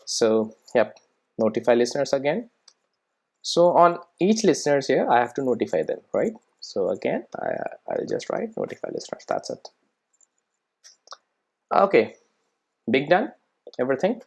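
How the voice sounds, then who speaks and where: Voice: medium pitch, wildly swooping pitch, normal speed, vocal fry, pauses often, a little mellow, husky. A presenter giving an informal programming tutorial, thinking aloud while working and often checking in with short rhetorical questions.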